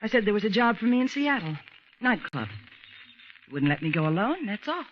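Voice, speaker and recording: A woman's voice: mid-range voice, sing-song, a little fast. An actress playing a cool, bitter, scheming former girlfriend in an old radio crime drama. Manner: clipped, cutting. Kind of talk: 1940s radio noir acting. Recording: noisy, muffled old radio recording.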